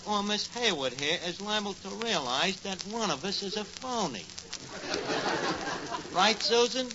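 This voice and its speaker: Bumbling boastful tavern manager, New York accent, highish animated delivery, muffled 1940s radio sound. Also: male voice. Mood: defensive, showy. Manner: blustering, malapropism-prone, mangled grammar. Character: boastful bumbling tavern manager, a tall-tale-spinning phony. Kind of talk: 1940s radio comedy acting. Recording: noisy muffled old radio recording.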